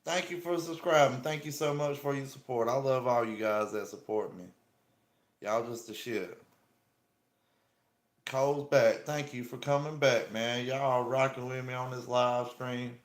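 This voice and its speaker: A man's voice: mid-range voice, steady tone, measured pace. Laid-back Southern man in echoey room. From South Carolina, US. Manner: laid-back, friendly, drawling slang. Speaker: livestream host. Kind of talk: casual live-stream chat with viewers. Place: indoor room. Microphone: small earpiece microphone. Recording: echoey room with faint ambient noise.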